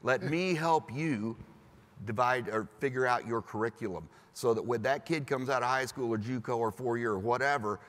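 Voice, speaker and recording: A man's voice: mid-range voice, moderate pace, fairly bright tone. Chatty panelist man in a reverberant hall. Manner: chatty, laughs easily, acts out quotes. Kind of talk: panel discussion.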